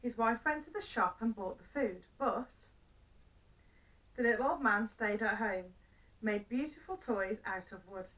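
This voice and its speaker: A woman with a fairly high voice narrates a children's story. She uses simple phrases and deliberate pauses, recorded slightly away from the microphone. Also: fairly bright tone, far from the mic, poor audio.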